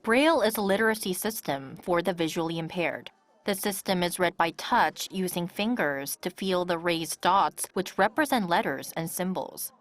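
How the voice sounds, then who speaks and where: A woman's voice: lowish voice, normal speed. A woman reporter delivering scripted narration for a television news feature.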